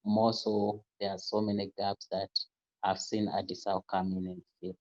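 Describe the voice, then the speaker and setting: A man speaks on a conference panel in a low, level voice, pausing now and then to find his words. The audio sounds dull and lo-fi. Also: level tone, gravelly, a little mellow. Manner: deliberate, with hesitant uh pauses. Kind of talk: virtual panel remarks.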